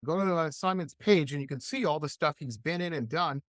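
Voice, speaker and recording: A middle-aged man hosting a radio interview show, chatting with an enthusiastic, animated manner. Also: fairly high pitch, a little fast, no room sound, clean studio sound. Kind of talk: casual interview chat.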